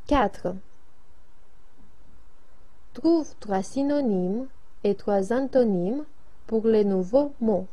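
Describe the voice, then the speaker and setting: A woman reads classroom exercises aloud at a slow, deliberate pace. Her voice is mellow and warm rather than bright, and her delivery stays even and careful. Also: on the high side. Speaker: French lesson reader.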